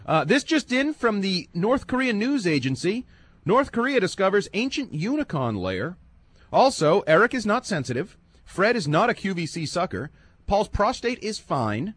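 A man hosting a sports radio show, talking casually and with animation, dropping low and quiet when he muses. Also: very animated, normal speed, breathy edge, no room sound.

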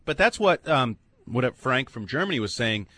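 A man's voice: mid-range voice, very animated, normal speed, bright, forceful tone, dry recording. A male sports radio host, blunt and sarcastic, arguing points with cohosts. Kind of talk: sports talk radio debate.

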